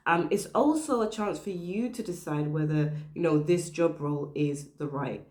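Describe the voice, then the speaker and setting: A woman with a very low voice, made croaky by the flu, gives career advice straight to the camera. She talks earnestly and leans on her points with little checks like "okay?". The room around her adds a noticeable echo. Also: normal speed, darkish tone. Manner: earnest, emphatic, stressing points with "okay?" and "you know". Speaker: career advice channel host. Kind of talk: direct-to-camera advice talk.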